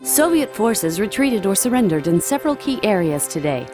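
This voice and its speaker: An actress as a lively TV news anchor. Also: female voice, medium pitch, moderate pace, lightly acted. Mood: urgent, polished. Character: polished female television war news anchor. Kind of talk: TV news promo read. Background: music.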